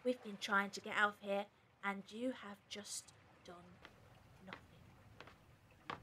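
A woman's voice: mid-range voice, steady tone, takes it slowly, sharp tone, slight background noise. An actress playing a determined woman among captives in an audio drama, earnest and confrontational. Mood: accusing. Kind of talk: fan audio drama acting.

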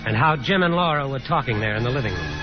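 A weary grown son played by a male radio actor speaks at a middling pitch. Music plays clearly behind him in this slightly muffled 1950s radio drama. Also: expressive, fast, close to an everyday voice. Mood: reflective. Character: weary grown son, narrator recalling his family.

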